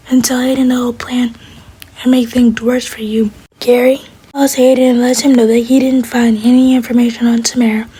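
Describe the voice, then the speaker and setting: Whispering woman for an ASMR show recap: dark, mellow tone, wry asides. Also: on the high side, rough recording. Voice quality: whispering, breathy. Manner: wry, gossipy commentary.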